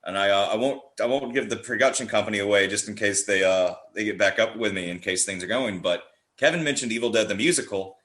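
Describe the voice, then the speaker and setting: A man's voice: on the low side, quick pace, few pauses, fairly bright tone. A man chatting casually as a podcast guest, talking in an enthusiastic, rambling way. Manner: enthusiastic, rambling, acts out little quotes. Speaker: podcast guest, horror theatre director. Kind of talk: casual chat. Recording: roomy, echoey sound.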